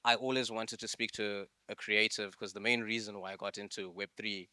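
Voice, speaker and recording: A man's voice: on the low side, steady tone, fairly quick, sharp tone, clear, resonant tone, no echo. A young man from Zimbabwe giving a live masterclass in a loose, conversational way, with plenty of fillers. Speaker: masterclass speaker. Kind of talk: live presentation to an audience.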